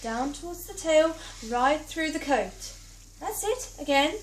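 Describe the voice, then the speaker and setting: A woman reading plays aloud as an amateur actor, brisk and chatty. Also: high voice, animated, a little hiss or hum. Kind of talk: play reading, theatrical. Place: small theatre stage. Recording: roomy theatre from far off.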